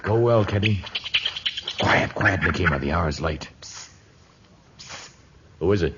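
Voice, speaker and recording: An actor playing a noble, loyal jungle hero in 1950s radio drama, speaking in an earnest, formal way. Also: male voice, sits low, expressive, takes it slowly. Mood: quiet, reassuring. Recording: muffled, hissy old radio recording.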